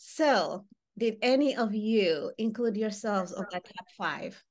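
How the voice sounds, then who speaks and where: A woman in her thirties leads an online workshop over a video call, speaking from a room at home. She talks in an animated way, her pitch rising and falling widely as she draws the participants in. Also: takes it slowly. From Uganda. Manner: animated, gestures while speaking, engages participants directly. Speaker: workshop facilitator. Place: home room with wall baskets. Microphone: webcam on a video call.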